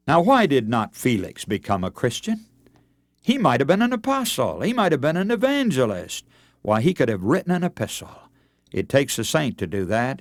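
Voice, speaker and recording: A man's voice: medium pitch, no room sound, studio quality. An older man, an evangelist, preaching a dramatic radio sermon in a fervent, oratorical manner, building on repetition and rhetorical questions.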